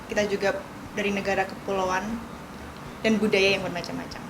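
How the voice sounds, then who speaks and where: A woman's voice: mid-range voice, level tone, fairly quick, background noise. A woman from Yogyakarta answering interview questions casually, with fillers.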